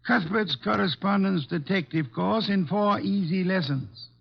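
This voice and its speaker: Muffled vintage radio recording of an imperious genius detective. His voice sits fairly high for a man, and he is curt, commanding and sharply sarcastic, with little patience. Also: a little slow, vocal fry, exaggerated voice. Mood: sardonic. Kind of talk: old-time radio detective drama acting. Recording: dull lo-fi old radio recording.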